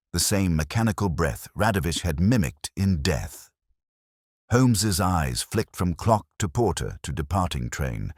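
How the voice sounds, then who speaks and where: A man narrating a mystery story in a measured, solemn, dramatic literary manner. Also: low voice, dry recording, clean studio sound. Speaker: audiobook narrator, telling the story as Watson.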